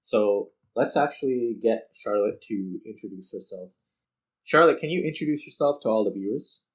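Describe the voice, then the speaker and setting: A man's voice: on the low side, moderate pace. A man hosting a gaming video, giving casual unscripted commentary and explaining things directly to the viewers.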